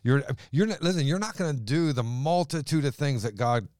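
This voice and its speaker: Smooth-voiced man discussing scripture. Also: a little high, expressive, fairly quick, darkish tone, no echo, studio quality. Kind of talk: casual religious discussion, citing scripture.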